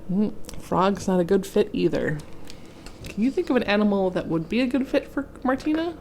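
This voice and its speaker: A woman's voice: mid-range voice, expressive, moderate pace, mellow, slight background noise. A woman reading a children's picture book aloud expressively, adding chatty asides to young listeners. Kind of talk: children's storybook read-aloud with casual asides.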